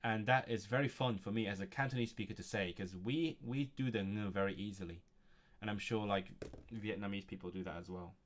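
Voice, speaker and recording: A man's voice: fairly low pitch, steady tone, a little fast. Man musing aloud over his studies. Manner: thinking aloud, musing to himself with frequent chuckles. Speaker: language learner streaming his study session. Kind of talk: casual livestream, reading a textbook aloud and commenting.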